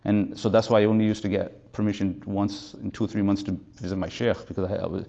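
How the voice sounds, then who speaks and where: A man in his fifties teaching a religious class at a quick clip, his voice low and mellow. He rambles through stories and drops in Arabic and Urdu terms. The recording sounds dull and lo-fi. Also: level tone, gravelly. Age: 50s. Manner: rambling, anecdotal, mixes in Arabic and Urdu terms, recites verses fast. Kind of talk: informal religious lecture.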